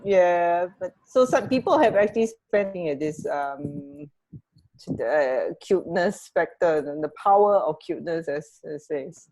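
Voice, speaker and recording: A woman co-hosting a casual radio chat, speaking in a halting way and repeating words as she searches for phrasing. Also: lowish voice, expressive, mellow, low-quality recording. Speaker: radio co-host.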